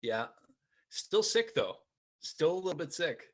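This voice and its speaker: A man's voice: on the high side, very animated, measured pace. A man chatting as a guest in an easygoing, laid-back way, still getting over a cough. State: lingering cough from a cold. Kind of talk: casual chat over video call.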